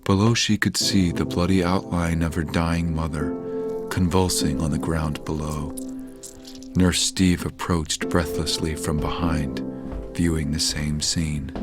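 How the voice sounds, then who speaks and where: A man giving solemn, measured scripted narration for an audio drama. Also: very deep voice, level tone. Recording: loud music behind the voice.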